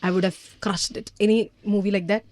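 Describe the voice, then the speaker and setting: A woman's voice: mid-range voice, fairly even intonation, fast, a little mellow, no room sound, studio quality. A woman with a Malayali Indian accent hosting a casual interview, chatty and giggly. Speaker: radio host interviewing a guest. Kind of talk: casual celebrity interview, mixing English and Malayalam.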